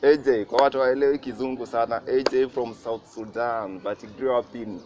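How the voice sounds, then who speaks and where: A man's voice: mid-range voice, steady tone, a little fast. Kenyan man hosting, noisy muffled recording. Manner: chatty, mixes in Swahili slang. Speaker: show host. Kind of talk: casual interview hosting, code-switching. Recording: muffled with clear background noise.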